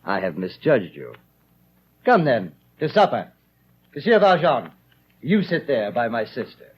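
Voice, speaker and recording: An actor playing a kindly, pious old bishop with a gentle, measured, dignified delivery in a 1930s radio drama. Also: male voice, mid-range voice, big pitch swings, slightly rough. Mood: genial. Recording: dull, faintly noisy 1930s radio recording.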